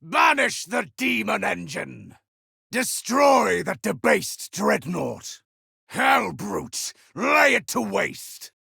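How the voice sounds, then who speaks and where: A man's voice: high-pitched, very animated, slow pace, vocal fry, sharp tone, slightly rough, dry recording, studio quality, exaggerated voice. A man voicing a righteous, wrathful space marine crusader in a video game, declaiming in a commanding manner. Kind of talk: video game battle barks.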